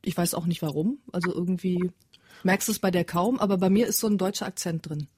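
A woman guest in a radio studio, talking in a relaxed, matter-of-fact way and laughing easily. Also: on the low side, fast talker, a little hiss or hum. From Germany. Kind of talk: casual studio conversation.

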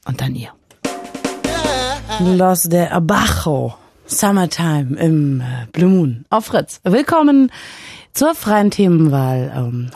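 A woman's voice: low-pitched, dark tone, no room sound, studio quality. A woman hosting a call-in radio show, chatting playfully with lots of fillers and hesitations. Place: radio studio. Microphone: studio microphone.

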